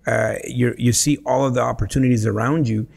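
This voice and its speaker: Gravelly, husky real estate investor. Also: male voice, medium pitch, fairly quick. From El Salvador. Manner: animated storyteller, frequent "you know" and "right?". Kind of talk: casual podcast conversation.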